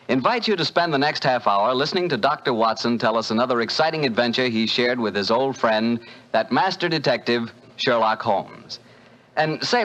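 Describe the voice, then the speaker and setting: A man's voice: mid-range voice, a bit bright. A man announcing and reading an ad in upbeat, salesy 1940s radio style, from an old radio recording.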